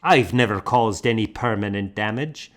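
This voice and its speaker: A man's voice: fairly low pitch, normal speed, natural voice. A man reading an audiobook as a hearty, bluff monk schoolmaster, confident, mocking and blunt. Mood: breezy, self-satisfied. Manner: blunt, emphatic, self-assured. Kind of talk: audiobook character dialogue.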